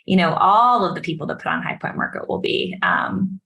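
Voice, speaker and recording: A woman co-hosting a podcast in casual interview chat, animated and acting out her own reactions as she tells stories. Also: on the low side, a little fast, creaky. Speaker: podcast co-host.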